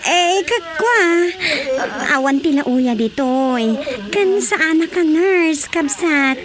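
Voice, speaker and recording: A woman's voice: extremely high pitch, expressive, slow, a crowd in the background, heavily acted. A woman with an Ilocano Filipino accent acting an anxious, whiny, easily panicked wife in a radio drama comedy. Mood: wailing, complaining.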